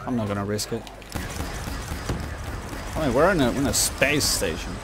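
A man's voice: mid-range voice, animated, moderate pace, noisy background. A man giving casual gameplay commentary, reacting with exclamations and mild swearing. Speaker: gaming video creator. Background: game music and sound effects.

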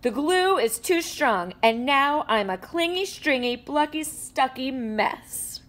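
A woman reads a children's picture book aloud in a higher voice that leaps and dips with every line. She acts out the sound effects with playful energy, recorded indoors at a table. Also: slow pace. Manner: animated storytelling with sound effects. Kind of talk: expressive read-aloud.

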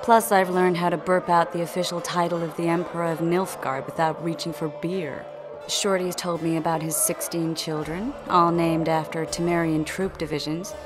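Woman playing a wry game heroine, lowish voice, dry n playful, music behind. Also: level tone, moderate pace, natural voice. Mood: wry, teasing. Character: wry, caring female companion of soldiers. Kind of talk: video game character acting.

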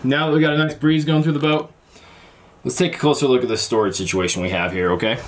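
A man's voice: mid-range voice, quick pace. A man in his forties chatting casually through a boat restoration project, easygoing and self-deprecating, with plenty of ums, talking close to the camera in a small sailboat cabin. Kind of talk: casual how-to vlog talk. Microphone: camera close to the face.

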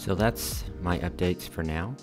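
An American man narrating his vlog in an earnest, friendly, calm voice-over. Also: sits low, measured pace, over music. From United States. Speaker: vlogger. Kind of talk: voice-over narration over scenic footage.

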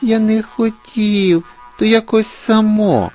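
Tiny baby dragon boy, thin squeaky high voice, naive n sorry-sounding, storybook style. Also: fairly even intonation, slow, a little mellow, faint music behind. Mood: apologetic. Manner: naive, apologetic. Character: innocent newly hatched little male dragon, small, polite.

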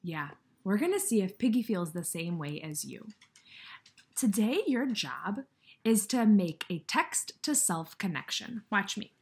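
Expressive woman teaching young kids. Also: mid-range voice, unhurried, slightly rough. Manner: instructional, questioning, addressing children directly. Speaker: kindergarten teacher. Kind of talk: read-aloud lesson for young children. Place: indoor room with brick wall, by a window.

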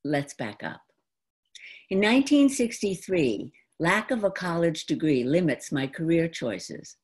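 Low-voiced, expressive older American woman. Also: slightly rough. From New York, United States. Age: 70s. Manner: deliberate, dramatic phrasing with pauses. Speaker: monologue performer. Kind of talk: theatrical autobiographical storytelling monologue. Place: indoor room at home. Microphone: computer mic on a video call.

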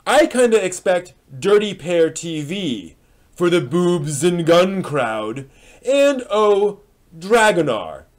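A man in his thirties hosting a dramatic reading of old online posts with spoken asides, theatrical and emphatic, recorded in a small room at home. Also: high voice. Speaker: host.

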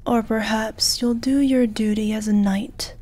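Woman doing a soft breathy dragon lady, asmr fantasy roleplay, kinda high but mellow, tender and intimate. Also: fairly even intonation, a little slow. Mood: coy, curious. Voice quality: soft, breathy, half-whispered. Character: wounded, seductive, gentle dragon lady in human form.

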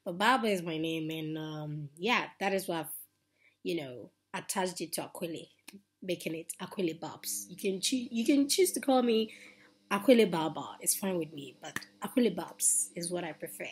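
A young woman with a Ghanaian accent talks casually to her webcam in a small room, chatty and unhurried, with soft asides. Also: on the low side, animated, husky. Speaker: YouTuber. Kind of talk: casual chat to camera.

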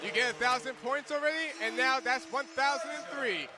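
Guy doing color on a hoops broadcast, super high pitched, noisy gym behind him. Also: fairly quick, a crowd in the background. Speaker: basketball color commentator. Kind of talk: sports color commentary. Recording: loud arena noise behind. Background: basketball game in an arena.

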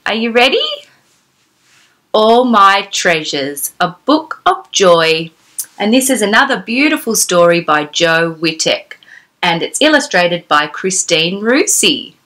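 Bright, animated woman storyteller for children. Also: mid-range voice, takes it slowly. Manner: exclamatory, sing-song, asking the child questions. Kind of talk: animated read-aloud storytelling for young children. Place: small decorated room indoors.

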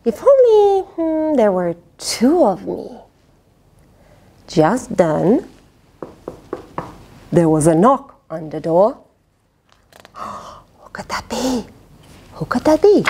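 A middle-aged woman reads a children's story aloud in a fairly high voice. She takes her time, swinging widely in pitch and speaking expressively to the young listener. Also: slow pace, long pauses, a little hiss or hum. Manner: expressive, dramatic, with gasps, sighs and questions to the listener. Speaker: storyteller reading a picture book aloud. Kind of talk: children's read-aloud with playful asides. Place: indoors, small fabric tent with cushions. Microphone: lapel.

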